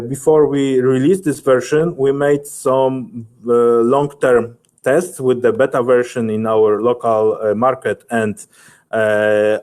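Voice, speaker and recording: A man answers questions in a technical webinar. He speaks very slowly at a medium pitch. His delivery is unscripted and hesitant, with frequent searching for the next word. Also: darkish tone. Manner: halting, hesitant, searching for words.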